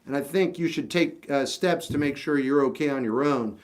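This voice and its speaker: A man's voice: mid-range voice, normal speed. A man in his fifties chatting casually on a livestream, answering viewers with rambling, self-deprecating advice and lots of restarts. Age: 50s. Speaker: livestream host.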